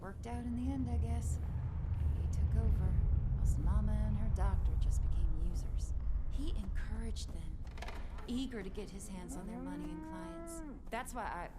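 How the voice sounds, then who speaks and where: A woman playing a sweet, hopeful young cattle hand in a video game, with a soft, folksy, earnest manner. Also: a little high, lively intonation, moderate pace, lightly acted. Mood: wistful, candid. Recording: loud vehicle and ambient game noise.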